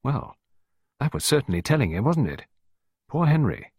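A man's voice: medium pitch, sing-song, normal speed, darkish tone, husky, no room sound, voice pushed up. A man voicing a polite, earnest male suitor in an audiobook, rueful and lightly ironic. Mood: amused, curious. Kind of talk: audiobook character voice.

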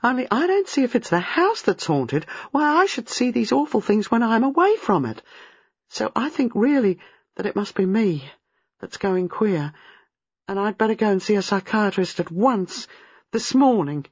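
A woman reading a storybook, playing a distraught young married woman who is frightened, halting and agitated. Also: medium pitch, sing-song, husky, no room sound, dull audio, pitched above the performer's own voice. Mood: despairing, frantic. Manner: agitated, halting, rushing in bursts.

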